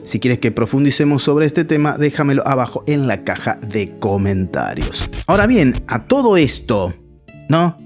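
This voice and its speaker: Argentine male friar, chatty commentary straight to camera, animated delivery, faint music under. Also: mid-range voice, moderate pace. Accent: Argentine Spanish. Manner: conversational, addresses viewer directly. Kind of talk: casual video commentary.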